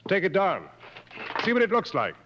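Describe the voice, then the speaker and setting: An actor playing a seasoned, take-charge safari guide, matter-of-fact, in 1950s radio drama style. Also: male voice, high-pitched, huge pitch swings, normal speed, noisy background. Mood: brisk. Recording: muffled old 1950s radio broadcast.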